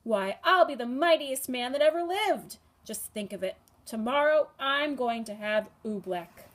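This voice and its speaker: A woman voicing a boastful, greedy adult king who talks in booming, bossy bursts. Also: animated, moderate pace, natural voice. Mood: gloating.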